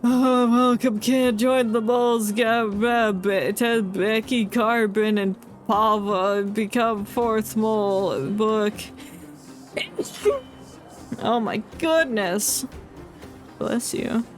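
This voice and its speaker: A woman streaming a game, playfully commenting and reading the on-screen text aloud in a casual way. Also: mid-range voice.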